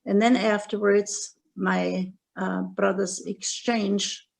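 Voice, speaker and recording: Older woman, German accent, author interview, slow, hesitant, gravelly. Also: medium pitch, flat delivery. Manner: slow, halting, many pauses and fillers. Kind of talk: interview, recounting memoir.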